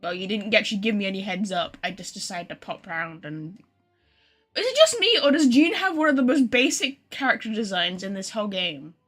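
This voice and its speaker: A woman's voice: mid-range voice, animated, fairly quick, fairly bright tone. A woman chatting casually with viewers on a game livestream, thinking aloud in an informal, chatty way. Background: game music.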